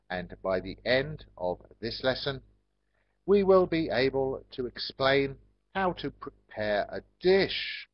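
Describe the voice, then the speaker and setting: An English man with a low voice teaches an online class at a very slow pace. He is polite and encouraging, addressing learners in simple, measured phrases, and the lo-fi call audio is very dry. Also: dry recording. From England.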